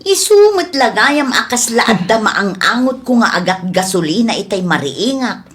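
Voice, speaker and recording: Actress as a gossipy wife in comedy radio play, really animated pitch, exclaims a lot. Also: female voice, moderate pace. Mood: concerned. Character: chatty wife gossiping with her husband. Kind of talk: Ilocano comedy audio drama acting.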